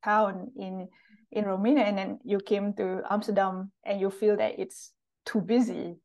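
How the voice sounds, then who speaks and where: A woman hosts an interview over a video call, speaking into a headset from a room with bookshelves. She asks questions in a probing, conversational way, often restating what she heard. Her voice sits at a middle pitch. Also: flat delivery, measured pace, darkish tone. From Singapore. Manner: probing, rephrases guest's answers as questions. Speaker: interview host.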